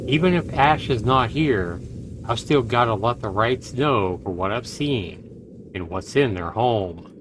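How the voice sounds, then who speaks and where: A man's voice: medium pitch, expressive, a little slow. Male streamer narrating over noticeable noise. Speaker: livestreamer. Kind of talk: reading game text aloud with casual asides. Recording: clear background noise on stream.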